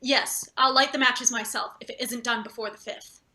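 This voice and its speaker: An actress plays a ruthless office boss in a stage drama reading. Her voice is high-pitched and very bright, cutting and forceful. She is irritable and bullying, pressing hard with curt phrasing. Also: steady tone, normal speed. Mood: flustered, placating. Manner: curt, pressing, stammers deferentially to superiors. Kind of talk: live theatre table read.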